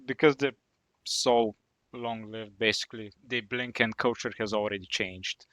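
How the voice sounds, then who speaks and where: A man's voice: lowish voice, steady tone, measured pace, a bit bright, no echo. Casual male podcast co-host. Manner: casual, asks questions with fillers. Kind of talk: podcast interview.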